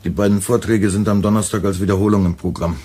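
An actor playing a young, clever radio editor in a radio drama, dry and wry. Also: male voice, on the low side, steady tone, fast talker, warm tone, slight background noise, lightly acted.

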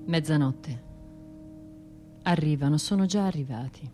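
A woman's voice: low-pitched, a little slow, dark tone, faint music behind. An actress playing a bitter, sardonic, grieving woman with a Tuscan accent in a colloquial radio drama monologue. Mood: tense, irritated. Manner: colloquial, sardonic, acting out other people's remarks. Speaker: stage and radio actor.

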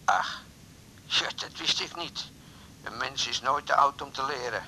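An actor in a radio drama playing an impulsive, sheepish middle-aged suitor who talks haltingly and pleadingly. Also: male voice, medium pitch, bright, forceful tone, slight background noise, poor audio. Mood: rueful, chatty. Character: impulsive, sheepish older suitor calling on the phone. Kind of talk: 1980s Dutch radio drama acting.

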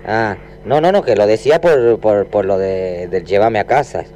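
A man's voice: fairly low pitch, normal speed, dark tone, slight background noise. A man with an accent from Spain, co-hosting a radio show with colloquial, joking chat. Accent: Spanish from Spain. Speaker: radio co-host.

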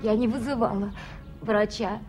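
A woman's voice: mid-range voice, fairly even intonation, moderate pace, darkish tone, natural voice. An actress in a radio drama playing a curt, irritable young female student who is in pain. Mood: pained, irritated. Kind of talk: Soviet radio drama acting. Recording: 1980s radio play, faint music.

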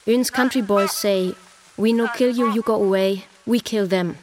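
A woman playing a Fuegian native who gives testimony in halting broken English in a radio play. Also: medium pitch, steady tone, close to an everyday voice. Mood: halting, testifying. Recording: voice over prominent music bed. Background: music.

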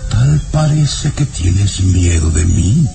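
Slow deep creepy baron, sly and falsely sweet, old radio drama with music. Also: male voice, sing-song, creaky, full character voice. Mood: falsely tender. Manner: slow, suspicious, insinuating, with sly chuckles. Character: sinister bony cold-handed baron, falsely tender father. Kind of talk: vintage Spanish-language radio serial acting.

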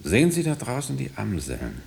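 An actor playing a mysterious, insistent man in hiding who conjures visions in halting, suggestive phrases in a radio play. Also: medium pitch, huge pitch swings, vocal fry, a little mellow. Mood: insistent, conjuring. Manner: halting, suggestive phrases, sometimes dropping to whispers.

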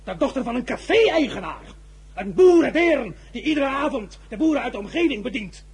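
An actor playing an imperious, indignant young general in a radio drama, declaiming and giving commands. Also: male voice, very high voice, very animated, fairly quick, slightly rough, full character voice. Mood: outraged. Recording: busy crowd behind voice.

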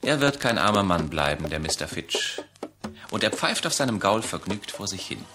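An actor as a chorus storyteller in a radio play, declamatory, rhythmic and detached. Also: male voice, deep voice, big pitch swings, normal speed, noisy background. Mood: detached, wry. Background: music.

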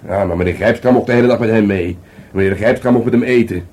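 An actor playing a grumbling but playful police detective in a radio play, speaking informally with a Netherlands Dutch accent. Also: male voice, fairly low pitch, fast, darkish tone, close to an everyday voice. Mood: grumbling, sulky. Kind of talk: naturalistic radio play dialogue. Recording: faintly noisy radio play recording.

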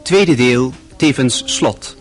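A man's voice: medium pitch, slow pace, faint music behind. A man formally announcing a radio drama in a scripted style.

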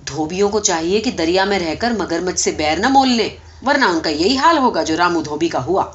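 A woman's voice: on the low side, expressive, highs cut off, natural voice. A woman voicing an irritable, callous male office clerk in a story reading, brusque and dismissive. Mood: sly, evasive.

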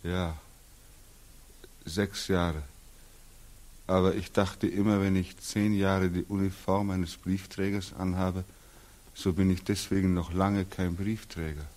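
An actor playing a weary, bitter young German war veteran in a 1940s radio drama, halting and heavy with repetition. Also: male voice, deep voice, flat delivery, darkish tone. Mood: subdued, bitter.

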